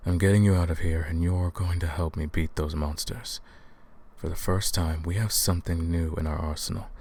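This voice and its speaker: A man voicing a guilt-ridden, centuries-old vampire hunter in a slow, hushed, somber roleplay monologue. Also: sits low, steady tone, slight background noise. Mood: grim, resolute. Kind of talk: scripted ASMR roleplay monologue.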